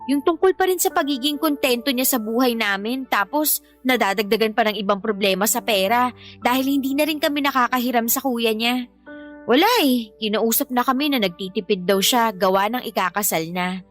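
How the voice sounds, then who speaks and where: A woman's voice: a little high, a little fast, soft background music, natural voice. A woman playing an exasperated young mother who complains emphatically about her partner in a Filipino radio-style drama. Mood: aggrieved, frustrated.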